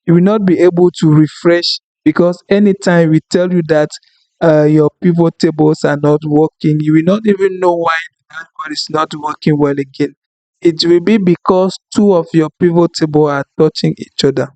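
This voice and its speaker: A man whose first language is French, talking through a screen-recorded software tutorial in an unhurried, apologetic way, thinking aloud with fillers. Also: a little high, steady tone, dry recording. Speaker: tutorial instructor.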